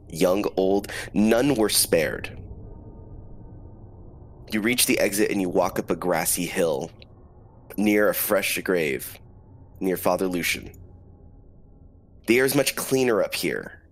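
Low-voiced man narrating a grim scene. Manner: slow, solemn scene-setting narration. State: has a cold. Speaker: dungeon master. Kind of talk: dramatic narration of a tabletop game.

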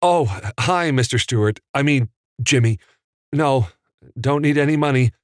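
A man plays a flustered, starstruck fellow in an audiobook. He is apologetic and halting, his pitch jumping around, recorded dry. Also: expressive, no echo. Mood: nervous, sheepish. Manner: stammering, apologetic. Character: nervous, starstruck male trailer editor, flustered drifter.

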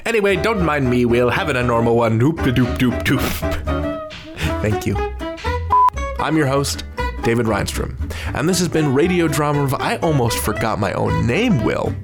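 A man's voice: medium pitch, expressive, moderate pace, loud music behind the voice. A man hosting a podcast, chattering casually to his editor in a goofy, self-mocking way, slipping into silly voices. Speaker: podcast host.

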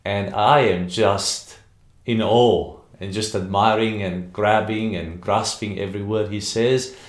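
An earnest male host shares a personal story and then interviews his guest, hesitating now and then. His voice sits low. The room adds a clear echo. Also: steady tone, measured pace.

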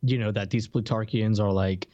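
A man's voice: fairly low pitch, steady tone, moderate pace, mellow, dry recording, lo-fi sound. A man co-hosting a podcast, chatting informally in loose, slangy language. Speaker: podcast co-host. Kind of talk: casual, informal chat.

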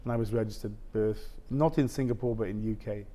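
A man in his fifties, a guest telling a personal story in casual conversation, halting and full of restarts. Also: fairly low pitch, fairly even intonation, mellow. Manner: halting, with many restarts and "basically". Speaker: interview guest.

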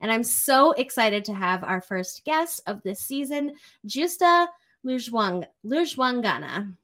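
A woman's voice: on the high side, big pitch swings, measured pace, fairly bright tone. A woman hosting a podcast in an enthusiastic, upbeat way, introducing her guest. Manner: enthusiastic, upbeat, uses fillers like you know. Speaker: podcast host. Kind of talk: casual interview hosting.

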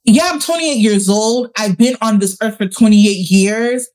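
Woman in her late 20s cohosting a podcast, earnest, thinks out loud in long rambly thoughts, medium pitch. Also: a little slow. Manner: earnest, reflective, talks in long run-on thoughts. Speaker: podcast co-host. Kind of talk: casual chat.

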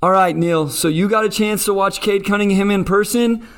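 A man's voice: high-pitched, normal speed, a bit bright, slight background noise. A man hosting a sports podcast in a conversational style. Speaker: podcast host.

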